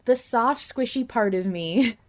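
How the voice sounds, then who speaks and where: A woman hosting a casual podcast discussion, thinking out loud at length with some emphasis. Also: fairly high pitch, unhurried. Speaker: podcast host.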